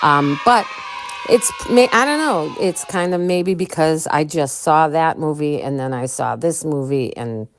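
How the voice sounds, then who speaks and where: A woman co-hosting a podcast, talking casually and excitably to listeners, with lots of ums and restarts. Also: low voice, a little slow, background noise. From Chicago. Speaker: podcast co-host.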